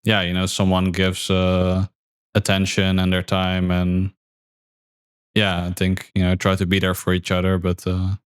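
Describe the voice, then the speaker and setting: A man's voice: low-pitched, completely flat delivery, unhurried, clean tone, dry recording, studio quality. A man hosting a podcast, speaking thoughtfully with many fillers and repeated words. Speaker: podcast host. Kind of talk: casual conversation.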